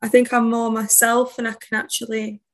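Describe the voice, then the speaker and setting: Shy, higher-voiced woman poet. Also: little pitch movement, moderate pace. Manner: shy, soft-spoken, rambling. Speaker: poet guest. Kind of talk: casual conversational interview.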